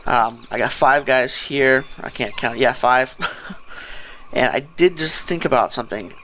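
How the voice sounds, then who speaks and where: A man's voice: medium pitch, unhurried, fairly bright tone, a little hiss or hum, low-quality recording. A man giving casual, rambling gameplay commentary, thinking aloud as he goes. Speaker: let's play host. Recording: game music behind the voice. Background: fantasy game music and sounds.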